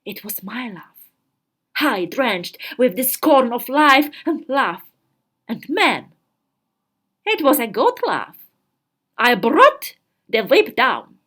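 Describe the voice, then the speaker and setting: A woman voicing a haughty, melodramatic fourteen-year-old girl from a story diary, grandiose and theatrical with drawn-out emphasis. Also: on the high side, sing-song, fairly bright tone, full character voice. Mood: triumphant scorn.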